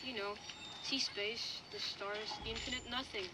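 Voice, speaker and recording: A restless, daring kid voiced by a woman speaks in a game drama, dreamy yet bold. The voice is fairly high and set against loud ambient noise. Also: steady tone, normal speed, lightly acted. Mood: dreamy, pleading. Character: restless, daring child who dreams of space. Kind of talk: dramatic game audio log dialogue.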